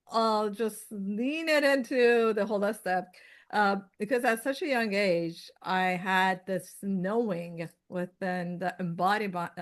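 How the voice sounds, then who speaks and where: Persian-accented woman in her fifties. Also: mid-range voice. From Iran. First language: Farsi. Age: 50s. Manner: rambling storyteller, many fillers and restarts. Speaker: guest. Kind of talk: casual interview chat.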